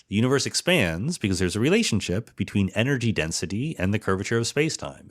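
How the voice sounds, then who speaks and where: A man giving an informal explanatory monologue as a podcast host, posing questions and answering them himself. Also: sits low. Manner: explanatory, asks and answers own rhetorical questions. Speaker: podcast host, theoretical physicist.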